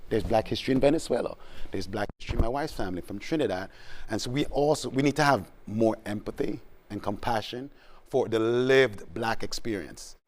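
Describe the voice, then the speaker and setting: Man, low pitch, measured, explanatory, panel guest. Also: darkish tone. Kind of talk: TV panel discussion.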